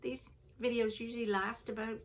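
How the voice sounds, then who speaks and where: An older woman from England with a softened Liverpool accent, hosting a knitting podcast from home and chatting casually with the viewer. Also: on the high side, little pitch movement, moderate pace, slight background noise. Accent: Canadian-influenced English, originally from Liverpool. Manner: chatty, rambling, self-deprecating. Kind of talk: casual chat to camera. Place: home, seated indoors.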